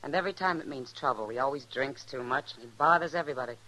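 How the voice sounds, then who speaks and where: A woman's voice: very deep voice, rapid-fire, pushed and bright, heavily acted, rough recording. An actress playing a worldly, frank saloon woman with an American accent and a wry manner, in old-time radio western style. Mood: wry, disapproving.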